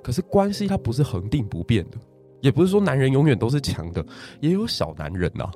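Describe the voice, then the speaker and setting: A man's voice: medium pitch, quick pace, soft background music. A man with a Taiwanese Mandarin accent, a podcast guest telling a history story and asking listeners rhetorical questions. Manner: animated, asks the audience rhetorical questions.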